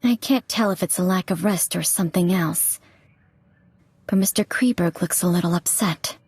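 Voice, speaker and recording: A woman's voice: fairly low pitch, normal speed, breathy edge, no room sound. A woman playing a polite, observant female reporter in video game cutscene dialogue. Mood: observant, musing. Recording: music behind the voice.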